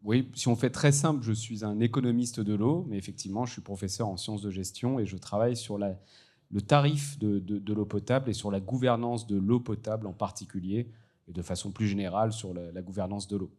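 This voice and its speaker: Frenchman, low relaxed voice, professor explaining his work simply. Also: fairly quick. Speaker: panel guest, economics professor. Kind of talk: plain explanatory panel talk.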